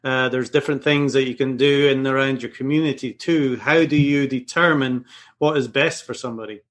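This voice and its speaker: A man's voice: mid-range voice, steady tone, a little fast. A man with a Scottish accent hosting an interview show in an easygoing, casual way, with the odd filler. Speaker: podcast host.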